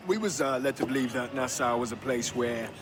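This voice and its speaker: An actor playing a crude, cheeky pirate rogue in a video game, with loose colloquial, leering speech. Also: male voice, medium pitch, moderate pace, vocal fry, lightly acted. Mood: sly, fumbling. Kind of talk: video game cutscene acting. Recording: loud music behind the voice.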